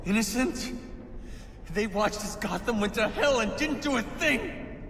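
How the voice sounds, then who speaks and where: A man's voice: very high voice, expressive, unhurried, fairly bright tone, exaggerated voice. An actor playing an idealistic, embittered young male anarchist villain in a video game, preaching in impassioned monologue. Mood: indignant. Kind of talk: dramatic video game acting. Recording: noisy game capture with long echoing reverb.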